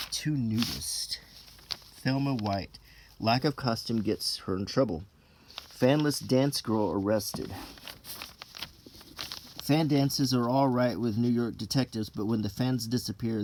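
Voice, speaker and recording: A man reading an old printed text aloud in an ASMR style, unhurried and low-key, with casual offhand asides. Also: a little mellow, a little hiss or hum, poor audio.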